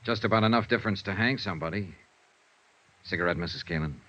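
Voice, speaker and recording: An American actor playing a cool, skeptical insurance investigator in a vintage radio noir, with dry, clipped, understated delivery. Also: male voice, sits low, fast talker, fairly bright tone, dry recording. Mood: cool, probing. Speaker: actor in a radio detective serial. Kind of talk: 1950s radio noir acting and first-person narration. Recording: dull vintage radio recording, music behind.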